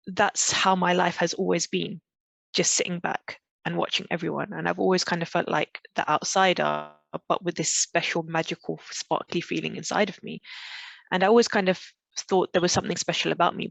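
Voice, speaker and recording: A woman from Pakistan, a podcast guest telling a personal story in a reflective, halting way with frequent restarts. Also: fairly low pitch, vocal fry, no room sound. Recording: dull, dry, lo-fi recording.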